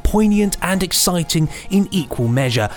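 A man's voice: high-pitched, lively intonation, moderate pace, soft background music. A man co-hosting a podcast, reading reviews and chatting casually with a jokey manner. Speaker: podcast co-host.

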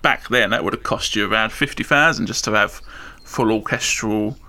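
A man's voice: fairly low pitch, normal speed, bright, forceful tone. A man chatting casually over a video call about his work as a composer, modest and rambling, laughing often. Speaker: composer and sound designer. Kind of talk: casual chat.

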